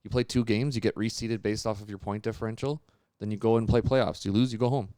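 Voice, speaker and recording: A man's voice: on the low side, fairly even intonation, fast talker, warm tone, clean tone, dry recording. A man co-hosting a casual sports discussion, explaining things step by step in an informal way.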